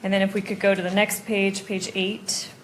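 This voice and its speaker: A woman's voice: medium pitch, flat delivery, moderate pace, slight background noise, rough recording. A woman speaking as an attorney at a hearing, politely giving procedural instructions to a witness. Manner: polite, directing.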